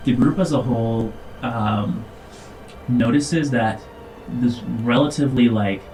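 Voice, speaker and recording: A man narrating a tabletop game as game master, improvising descriptions in a halting, informal way. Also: medium pitch, darkish tone, distant mic, a little hiss or hum, rough recording. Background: music.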